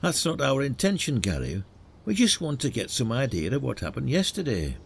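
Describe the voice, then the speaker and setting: Husky retired detective, played by a man, very animated. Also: medium pitch, normal speed, full character voice. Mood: coaxing, earnest. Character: retired detective, older man, frank and coaxing village sleuth. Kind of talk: audio drama acting.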